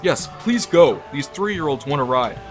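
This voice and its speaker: Man acting deadpan, weary ride attendant. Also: a little high, unhurried, faint music behind. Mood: fed up. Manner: deadpan, fed up. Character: weary, underpaid amusement park ride attendant. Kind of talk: audio drama acting.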